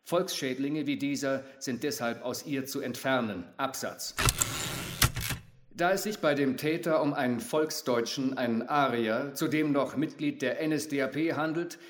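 An actor playing a stern Nazi official in a radio drama, dictating in a cold, clipped, bureaucratic manner. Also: male voice, medium pitch, natural voice.

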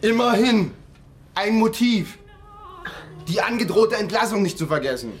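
A man's voice: high voice, animated, a little slow, soft background music. A man playing a nosy, dogged amateur investigator in a radio drama, with a blunt, insistent, probing manner. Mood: eager. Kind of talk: naturalistic radio drama acting.

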